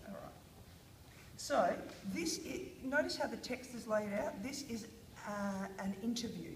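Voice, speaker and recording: A woman's voice: on the high side, measured pace, echoey room, noisy background. Australian woman teacher prompting kids. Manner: questioning, prompting, encouraging. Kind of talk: guided reading lesson with children. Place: classroom.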